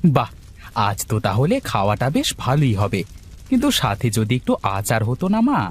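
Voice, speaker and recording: A man's voice: mid-range voice, huge pitch swings, normal speed, slight background noise. A man playing an affectionate, dutiful elder son in a fairy-tale cartoon. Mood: cheerful, fond. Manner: eager, affectionate.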